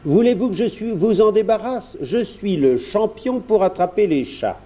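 A man's voice: high voice, moderate pace, voice pushed up. Man putting on a courteous, brave young prince. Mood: polite curiosity. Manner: courteous, calm. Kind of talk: children's storybook character.

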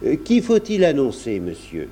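A man's voice: mid-range voice, wildly swooping pitch, moderate pace, mellow, slightly rough, pitched above the performer's own voice. A man voicing a polite, deferential doorman of a grand house, formal and courteous, in a storybook reading.

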